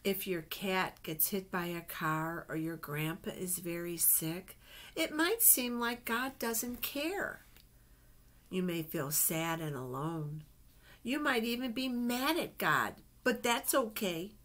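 A woman's voice: fairly low pitch, expressive, slow. A middle-aged woman reads picture books aloud to children, adding chatty asides and simple questions. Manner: simple, child-directed phrasing with gentle questions. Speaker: children's Bible storyteller. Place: small indoor office. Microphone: webcam at desk distance.

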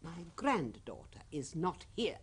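A woman's voice: fairly low pitch, big pitch swings, unhurried, breathy edge, full character voice. An actress playing a haughty, secretive grandmother with an English accent, formal and commanding, in a radio drama. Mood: defensive, stern. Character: imperious, secretive old English grandmother, lady of the manor. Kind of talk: 1970s radio drama acting.

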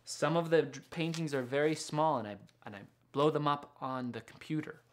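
A man's voice: on the high side, moderate pace. A man, casually showing and demonstrating his work as an illustrator, musing to himself as he goes. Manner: musing, talks to himself while working. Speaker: illustrator and picture book author. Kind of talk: casual show-and-tell and drawing demonstration. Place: indoors in a small studio with books and records.

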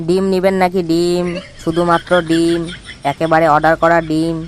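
A man voicing a sly, bossy jackal egg thief who talks smoothly and schemes in an animated cartoon. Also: a little high, normal speed, a little hiss or hum, natural voice. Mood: sly sales patter. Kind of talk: cartoon voice acting.

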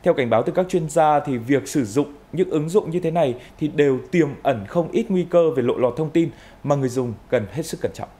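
A man's voice: fairly high pitch, fairly quick, hardly any pauses, dark tone. A man in his thirties presenting scripted news as an anchor in a television studio.

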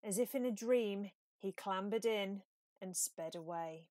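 A woman reading a children's storybook aloud in a measured, expressive way. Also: medium pitch, dry recording, studio quality. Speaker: storybook reader. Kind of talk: scripted children's story narration.

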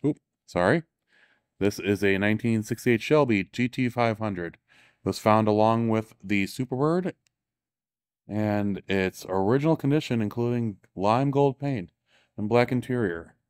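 A man's voice: lowish voice, a little slow, darkish tone, clean tone, studio quality. A man giving an enthusiastic, offhand walking tour of cars in a large indoor exhibition hall, correcting himself as he goes. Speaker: show tour guide and author. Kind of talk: casual walking tour commentary.

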